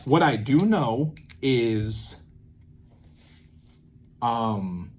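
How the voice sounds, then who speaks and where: A young man explaining in a casual, halting way, thinking aloud and often repeating and checking himself. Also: mid-range voice, a little mellow, a little hiss or hum. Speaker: creator teaching a creative method.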